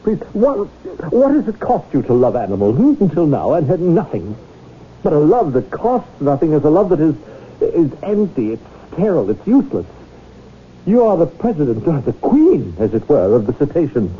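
A man's voice: fairly high pitch, huge pitch swings, moderate pace, slight background noise. An actor playing a pompous male animal lover who pleads grandly in an old radio drama. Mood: grandiose. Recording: tinny lo-fi radio broadcast.

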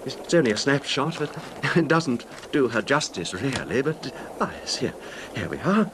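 A man's voice: sing-song, moderate pace, lightly acted. An actor playing a nervous, apologetic, well-off little man in a radio drama, speaking tentatively and deferentially. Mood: confiding. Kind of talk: old-time radio horror drama acting. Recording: noisy radio recording, train rumble.

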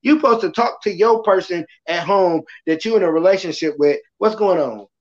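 A passionate, loud-voiced man hosting a casual podcast talk, acting out quotes as he goes. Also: high voice, fairly quick, muffled recording. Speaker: podcast host; kindergarten school worker.

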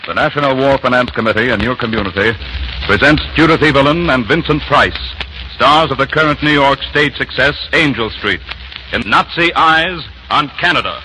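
A man's voice: medium pitch, normal speed, background noise. A man narrating a 1940s radio broadcast as announcer, in a formal, solemn manner. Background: music.

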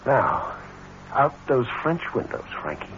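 An actor with an American accent playing a smooth, cold-blooded schemer in a vintage radio crime drama, in terse hard-boiled slang. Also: male voice, medium pitch, very animated, measured pace. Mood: menacing. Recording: muffled, noisy old radio recording.

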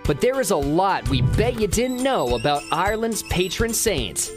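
A documentary narrator reading a scripted, measured explainer in a smooth storytelling manner. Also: medium pitch, sing-song. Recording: narration over loud background music.

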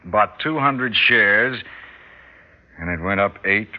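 Practical American family man, skeptical, lowish voice, radio drama, slightly dull radio recording. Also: expressive, slow, slight background noise. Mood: matter-of-fact. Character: Adam, skeptical, practical suburban husband and father.

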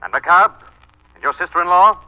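An actor playing a mysterious invisible avenger in an old-time radio drama, taunting and stern, through a filtered, disembodied effect. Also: male voice, sits high, brisk, no echo. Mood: stern, accusing. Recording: tinny filtered old radio, music behind.

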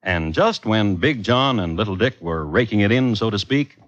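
A man's voice: on the low side, animated, moderate pace, lightly acted. A man narrating an old-time radio drama as a wry storyteller with dry humour. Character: wry male storyteller of a crime tale. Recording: dull vintage radio recording.

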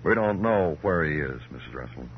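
An actor playing a weary, brooding American police detective in a dramatic old radio crime drama, speaking in clipped, poetic phrases. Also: male voice, very deep voice, lively intonation, a little fast, a little hiss or hum. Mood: terse, pressing. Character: weary, brooding male police detective narrating his case. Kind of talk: 1940s-50s radio crime drama acting. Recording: dull old radio recording, loud music.

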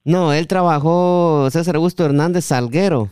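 A man hosting a casual interview, halting and often seeking agreement with tag questions. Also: on the high side, a little mellow, smooth, dry recording, studio quality. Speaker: podcast host.

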